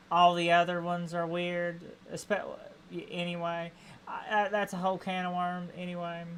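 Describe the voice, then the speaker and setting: A man from Appalachia with an Appalachian accent, chatting casually and haltingly while streaming a game. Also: sits high, a bit bright, slight background noise. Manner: rambling, hesitant, chuckling.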